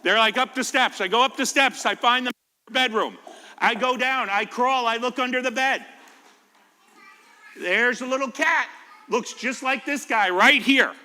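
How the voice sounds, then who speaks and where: High-pitched animated American man, bright and echoey. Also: normal speed. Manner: informal, jokey, asks the listeners questions. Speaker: firefighter giving a children's storytime talk. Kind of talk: animated storytelling to children. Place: reverberant indoor library room. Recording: reverberant hall.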